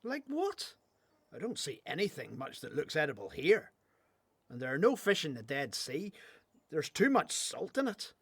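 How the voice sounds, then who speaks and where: Man acting earnest young seeker, lively delivery. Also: a little high, normal speed, husky, exaggerated voice. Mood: incredulous. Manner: questioning, earnest. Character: young John the Baptist, earnest, searching, polite newcomer. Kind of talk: religious radio drama acting.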